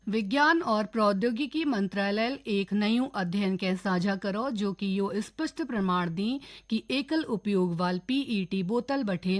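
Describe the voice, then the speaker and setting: A woman newsreader with a Kumaoni accent, reading a scripted regional radio bulletin in a steady, formal way. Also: medium pitch. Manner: steady, formal news delivery.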